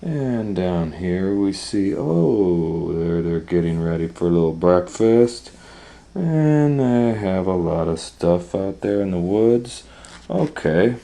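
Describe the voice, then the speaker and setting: A man's voice: deep voice, expressive, slow pace, gravelly, mellow. A man reading a picture book aloud to children, with folksy chatty asides and questions to listeners. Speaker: storytime reader and educator. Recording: roomy, echoing room sound.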